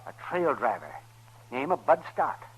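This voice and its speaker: A man's voice: medium pitch, sing-song, measured pace, over loud music. A man playing a crusty, matter-of-fact frontier town doctor in an old radio western, blunt and folksy in his talk. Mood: grim, urgent. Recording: dull, faintly noisy old radio recording.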